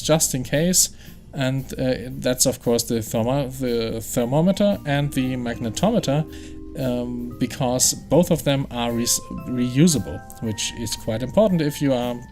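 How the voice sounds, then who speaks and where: A man's voice: mid-range voice, darkish tone. A man with a German accent, German his first language, hosting a game playthrough and explaining his steps in a chatty way that thinks aloud. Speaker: gaming channel host.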